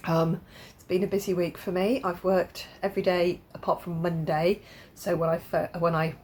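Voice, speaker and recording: A woman's voice: lowish voice, flat delivery, normal speed, darkish tone. A woman chatting casually to camera from her home conservatory, stuffy-nosed from a cold, rambling with frequent ums and restarts. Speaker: crafting vlogger.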